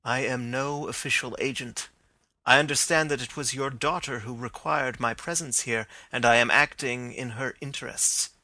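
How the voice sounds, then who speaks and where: A man voicing a calm male detective who speaks gently and gravely. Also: mid-range voice, dry recording. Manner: measured and gentle, gravely reassuring.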